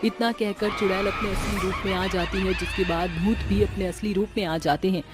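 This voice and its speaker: A narrator delivers a scripted tale in a medium-pitched voice. Music plays clearly behind the narration. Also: steady tone, a little fast.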